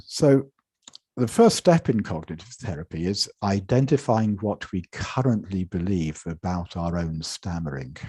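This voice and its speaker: A man giving a measured conference lecture from slides, with frequent repetitions and restarts, speaking over an online video call. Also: low-pitched, expressive, mellow, husky, dry recording. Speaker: conference presenter.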